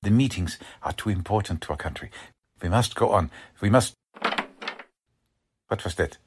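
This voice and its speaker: A man's voice: sits low, animated, moderate pace, background noise. A man playing an anxious, principled middle-aged German-American father in a 1940s-style radio drama, grave and earnest. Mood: resolute. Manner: grave, earnest, formal phrasing. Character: anxious German-American family patriarch, urgent but principled.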